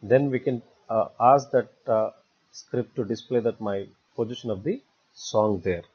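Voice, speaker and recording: Husky man teaching software. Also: fairly low pitch, a little slow. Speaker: software tutorial instructor. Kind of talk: step-by-step screen tutorial narration. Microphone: voice-over recorded for screen capture. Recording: dull, muffled lo-fi voice recording.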